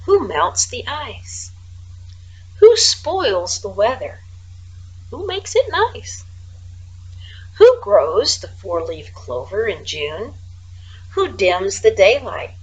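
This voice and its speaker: A woman voicing a calm, dreamy male field mouse poet in a children's storybook, speaking slowly and earnestly. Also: a little high, breathy edge, heavily acted, low-quality recording. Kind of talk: children's storybook read-aloud.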